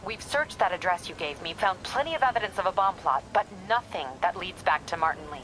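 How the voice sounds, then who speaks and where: A woman's voice: fairly low pitch, expressive, brisk, fairly bright tone, faint music behind, poor audio. No-nonsense American woman, matter-of-fact. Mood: dry, businesslike. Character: no-nonsense adult woman, ally of a superhero, dry, businesslike. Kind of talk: video game voice acting.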